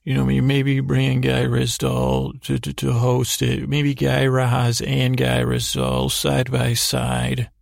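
Very creaky, rambling male podcaster. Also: mid-range voice, monotone, dry recording, clean studio sound. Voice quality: creaky, gravelly. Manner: meandering, halting, often trailing off and doubling back; self-deprecating, absurd humour. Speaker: podcast host. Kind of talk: rambling bedtime monologue.

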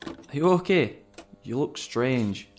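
An actor playing a young man, a best friend whose casual friendliness hides quiet menace, in an audio drama. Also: mid-range voice, animated, takes it slowly, faint music behind. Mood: casual, coaxing.

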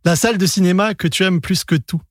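A man hosting a film podcast interview, asking short, brisk questions. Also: high voice, no echo, clean studio sound. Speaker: podcast host, film journalist. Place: podcast studio.

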